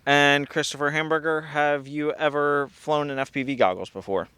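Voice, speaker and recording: A man's voice: a little high, steady tone, normal speed, pushed and bright, clean tone, no room sound. A man hosting a casual outdoor interview while flying a drone, upbeat and playful with jokes. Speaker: host. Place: outdoors at a park playground.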